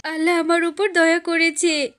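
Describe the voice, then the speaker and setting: A woman's voice: very high-pitched, flat delivery, normal speed, very noisy. A woman voicing a poor, kind, devout fox wife in a cartoon, speaking in an earnest, plaintive way. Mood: grateful, hopeful. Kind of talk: cartoon character acting.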